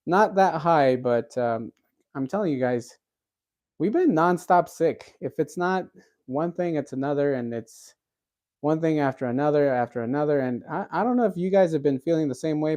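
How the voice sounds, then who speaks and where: A man's voice: fairly high pitch, animated, normal speed, a little mellow. A man in his thirties hosting a cooking livestream, chatting casually with viewers and reading out their comments, rambling with lots of fillers and restarts. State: recovering from a cold.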